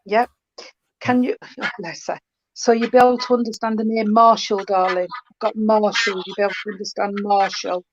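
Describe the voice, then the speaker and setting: A woman giving a spiritualist mediumship reading, talking warmly and calling the listener darling and sweetheart. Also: medium pitch, steady tone, normal speed, no room sound. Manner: affectionate, calls the sitter darling and sweetheart. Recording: dull lo-fi stream with faint noise.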